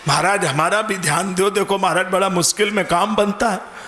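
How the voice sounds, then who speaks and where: A man's voice: high-pitched, steady tone, brisk, pushed and bright. Man playing a blunt, grumbling village devotee. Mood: aggrieved complaint. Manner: plain-spoken, complaining, stubbornly practical. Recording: echoey PA sound with music behind.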